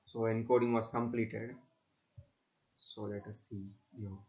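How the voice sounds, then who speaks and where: A man narrating a step-by-step software demonstration in a halting, repetitive way. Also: fairly low pitch, flat delivery, slight background noise. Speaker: student presenter demonstrating software. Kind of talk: screen-recorded tutorial demonstration.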